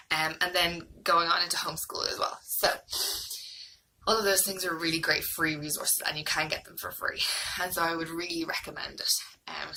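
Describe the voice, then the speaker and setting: A woman's voice: low-pitched, vocal fry, bright, forceful tone, a little hiss or hum, low-quality recording. An Irish woman in her thirties giving a casual, hesitant, rambling review, like a homeschooling mom vlogging.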